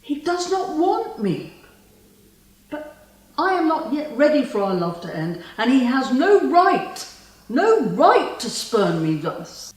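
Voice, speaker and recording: A woman's voice: fairly low pitch, animated, darkish tone. A woman playing a spurned immortal fae, possessive and vengeful, in a theatrical, lyrical stage monologue. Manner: theatrical, lyrical, deliberate delivery. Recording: distant mic in a very echoey room.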